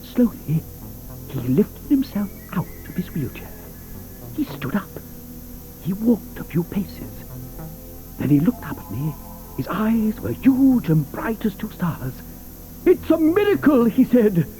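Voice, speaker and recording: An actor playing an eccentric, theatrical chocolate inventor who hesitates with many "uh"s and tells stories full of wonder. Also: male voice, high voice, sing-song, takes it slowly, long pauses, heavily acted, low-quality recording. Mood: storytelling. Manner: hesitant, with frequent "uh"s, then storytelling with wonder. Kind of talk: 1980s audio drama acting. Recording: studio audio drama with soft music underneath.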